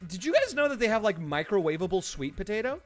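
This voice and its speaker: A man streaming live, chatting casually to viewers and telling stories in an animated way, acting out his reactions. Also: high-pitched, quick pace. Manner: animated storytelling, mimics his own reactions; laughs easily while talking. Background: background music.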